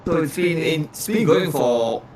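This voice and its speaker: A man's voice: on the high side, expressive, a little slow, darkish tone. A man co-hosting a livestream in casual chat, stumbling and repeating words as he goes. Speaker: livestream co-host. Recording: faint background noise.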